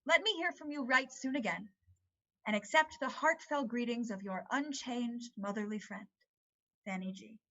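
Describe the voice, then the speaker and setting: A woman playing an earnest mother in a dramatic stage-play reading, speaking in a formal, measured, consoling manner. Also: a little high, a bit bright, highs cut off. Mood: reassuring.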